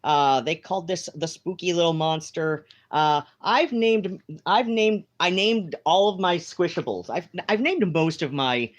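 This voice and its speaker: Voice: medium pitch, animated, a little slow, hardly any pauses. A live-stream host chatting casually from home, meandering through thoughts with lots of fillers and restarts.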